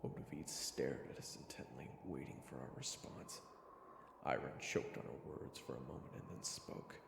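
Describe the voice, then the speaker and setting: Guy as a nervous wry young adventurer telling his fantasy tale, creaky voice, echoey reverb, faint music. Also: very deep voice, fairly even intonation, moderate pace, noisy background, full character voice. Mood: tense. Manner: dramatic, wry. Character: young male adventurer narrating his own story, sardonic, nervous. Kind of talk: first-person fantasy story narration and acting.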